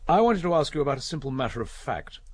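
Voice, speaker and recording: Husky, shrewd English police inspector. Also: male voice, a little high, sing-song, dry recording. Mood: measured, pressing. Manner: measured, probing. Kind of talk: British radio drama acting.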